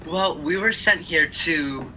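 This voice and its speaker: A man's voice: on the high side, takes it slowly. A man playing a frank, awkward, naive young angel in a radio play. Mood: curious, awkward. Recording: faintly noisy home video-call recording.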